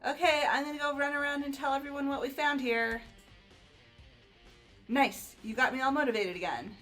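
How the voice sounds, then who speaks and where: A woman's voice: high voice, normal speed, a bit bright, soft background music. A woman voicing a perky, sporty teenage girl in a game playthrough, girlish and candid. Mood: upbeat. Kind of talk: voiced video game playthrough.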